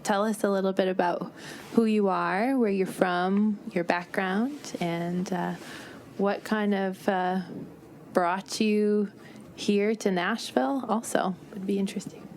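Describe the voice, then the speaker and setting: A woman's voice: medium pitch, darkish tone, slight background noise. A young woman hosting a podcast, enthusiastic and hesitant with many fillers. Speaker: podcast host, therapist. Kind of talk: podcast intro and interview.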